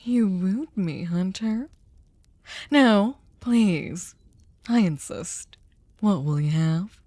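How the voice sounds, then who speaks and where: A woman voicing a sadistic, possessive vampire hostess in an ASMR roleplay, silky, courtly and teasing, often slipping into breathy whispers. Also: medium pitch, expressive, very slow, mellow, dry recording. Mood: playful, coaxing. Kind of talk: scripted ASMR roleplay, intimate dramatic monologue.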